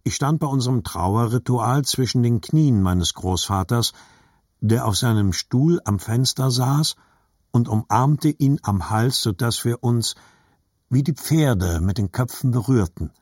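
An actor playing a reflective adult narrator remembering his boyhood, reading literary narration in a measured, husky voice. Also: mid-range voice, a little mellow, no room sound, studio quality, lightly acted. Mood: wistful.

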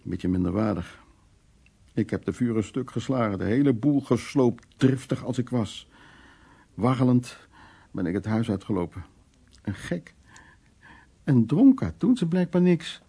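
Radio drama: worldly, blunt male narrator, dark and creaky, wry earthy delivery. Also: mid-range voice, lively intonation, measured pace, pauses often, exaggerated voice. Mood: earthy, confessional. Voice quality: gravelly, husky, dark. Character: worldly, blunt man recounting wartime escapades in Berlin.

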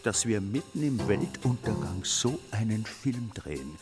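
A sceptical, weary film actor in a radio play. His lowish voice moves widely in pitch as he muses, with clear music underneath. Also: very animated, measured pace. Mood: doubtful, weary. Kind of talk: German radio drama acting. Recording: voice over prominent music.

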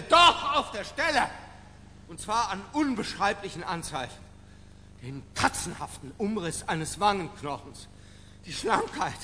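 An agitated middle-aged scholar lecturing in a radio play. His voice is bright and forceful, set rather high, with halting, fussy emphasis. It echoes through a reverberant hall over faint noise. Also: heavily acted. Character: agitated, pedantic middle-aged male academic lecturer, obsessive and unstable. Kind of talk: theatrical radio play monologue.

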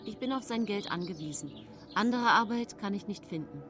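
A woman's voice: mid-range voice, animated, a little fast, music in the background, lightly acted. An actress playing a middle-aged female poisoner who confidingly narrates her own case in a German radio play.

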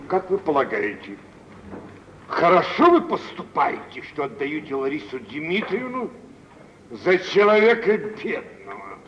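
An actor playing a self-important, wealthy older gentleman, pompous and deliberate, in an old theatrical radio drama. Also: fairly high pitch, very animated. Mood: disapproving, pointed. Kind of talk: 1940s theatrical radio acting. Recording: dull, noisy vintage radio play recording.

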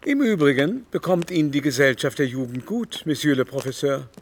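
An actor playing an aging, corpulent French aristocrat in a literary radio drama, teasing and flattering in a mannered, drawn-out way. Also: male voice, fairly high pitch, a little hiss or hum. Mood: arch teasing. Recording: music playing behind the voice.

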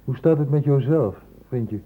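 An actor in a Dutch radio play voicing an earnest, insistent doctor who questions in a measured, formal way. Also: male voice, medium pitch, slightly rough. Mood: urgent, insistent. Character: earnest, insistent male doctor investigating an infection outbreak. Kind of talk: Dutch radio drama acting. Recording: muffled phone-like old radio recording.

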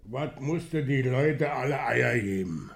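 An actor playing a sullen, lazy working-class husband in a radio play, terse and grumbling in German regional dialect, with a rough voice. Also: male voice, medium pitch, lively intonation, a little slow, a little hiss or hum. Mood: grumpy. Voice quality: gravelly, rough.

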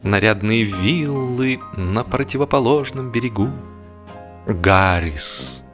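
A male audiobook narrator with a fairly low voice tells a humorous novel. His delivery is expressive and literary, and some music plays behind him. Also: slow pace.

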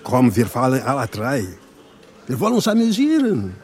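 An actor playing a boisterous, jovial, pleasure-loving husband in a radio drama, with a teasing, expansive manner. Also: male voice, mid-range voice, wildly swooping pitch, normal speed, a little mellow. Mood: jovial, coaxing.

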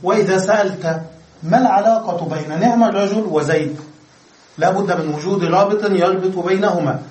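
A man with a high voice gives a patient grammar lesson, explaining step by step and checking that his class understands. He is recorded from a distance in a roomy, echoing lecture room. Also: a little fast, a little mellow. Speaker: Arabic grammar teacher. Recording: echoey room, mic far off.

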